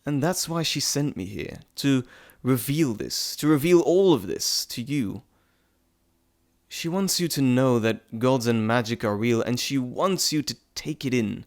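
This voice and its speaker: Guy doing a playful greek messenger god, chatty n fond, medium pitch, teasing you in an audio roleplay. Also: animated. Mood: earnest, reassuring. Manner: chatty, teasing, theatrical asides and pauses. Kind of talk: intimate audio roleplay to listener.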